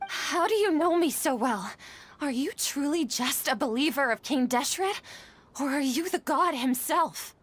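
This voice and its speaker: A woman's voice: high voice, animated, a little slow, slight background noise. A woman playing an earnest, guilt-ridden female scholar in a video game, careful and a little hesitant in her speech. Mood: astonished.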